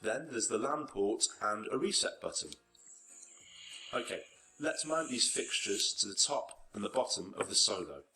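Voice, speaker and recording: A man's voice: on the low side, animated, moderate pace, bright, forceful tone, soft background music, poor audio. A man reading scripted narration for an instructional video tutorial.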